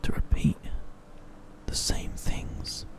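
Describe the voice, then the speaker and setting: A man whispering slowly into a binaural microphone, musing through an ASMR gameplay monologue. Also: poor audio. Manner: soft unvoiced whisper, slow musing questions. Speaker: ASMR gaming host.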